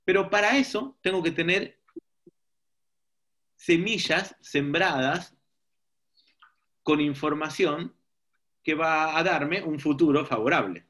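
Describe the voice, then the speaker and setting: Higher-voiced man teaching, reflective. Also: takes it slowly, pauses often, fairly bright tone. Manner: reflective, checks in with rhetorical questions. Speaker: seminar teacher. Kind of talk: informal teaching talk to a group.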